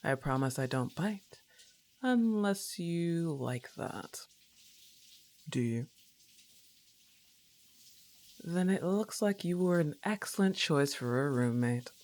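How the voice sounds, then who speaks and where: Slow, laid-back, teasing goth roommate, acted by a woman. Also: deep voice, darkish tone, exaggerated voice. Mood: teasing, flirty. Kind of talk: intimate ASMR roleplay acting.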